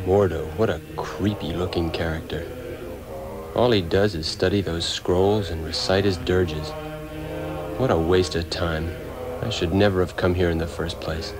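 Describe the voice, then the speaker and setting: An actor playing a cynical, impatient former surgeon in a 1960s radio drama, blunt and theatrical. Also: male voice, low-pitched, steady tone, unhurried. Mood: scornful, skeptical. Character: cynical, brash male ex-surgeon, skeptical, impatient. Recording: old radio drama, music behind.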